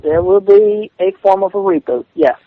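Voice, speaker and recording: A man speaking defensively on a phone call, halting and repeating himself. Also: sits high, dry recording. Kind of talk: phone conversation, answering challenges. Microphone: telephone call. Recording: dull lo-fi phone call recording.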